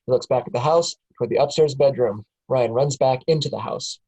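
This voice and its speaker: A woman's voice: extremely low pitch, a little fast, warm tone, slightly rough. A woman reading stage directions aloud in a casual table read, often breaking into laughs.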